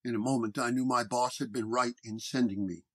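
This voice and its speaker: A man reading a scripted first-person detective horror story in a measured storytelling manner. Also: medium pitch, fairly even intonation, a bit bright. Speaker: narrator.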